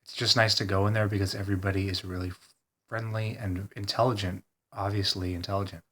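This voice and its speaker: Man, very deep voice, flat delivery, stuffed-up nose, hesitant rambling vlog. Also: slight background noise. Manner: hesitant, full of ums and pauses, soft-spoken. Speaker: vlogger. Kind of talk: rambling personal video diary.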